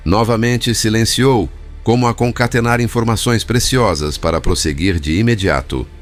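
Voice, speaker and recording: A man reading scripted audiobook narration in a formal, measured manner. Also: lowish voice, soft background music.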